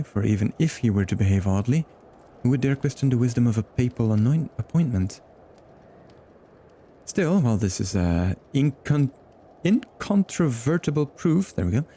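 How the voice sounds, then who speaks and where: A man's voice: mid-range voice, mellow, a little hiss or hum, natural voice. A man reading the lines of a formal, earnest young male noble scholar from a game, with game music playing behind him. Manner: reads formal game dialogue aloud, stumbling on long words.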